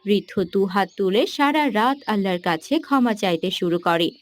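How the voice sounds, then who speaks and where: A woman's voice: mid-range voice, lively intonation, normal speed. A woman reading scripted narration for a cartoon moral story.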